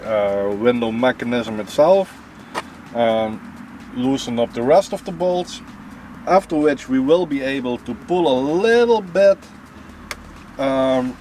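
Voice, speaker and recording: A man's voice: medium pitch, darkish tone. A man with a Dutch accent hosting a casual car repair walkthrough, chatting to a helper and calling viewers guys. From Netherlands. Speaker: car repair channel host. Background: background music.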